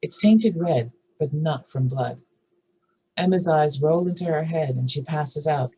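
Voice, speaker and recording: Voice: medium pitch, unhurried, warm tone, slight background noise, rough recording. A narrator reading screenplay stage directions and scene headings aloud at a scripted table read.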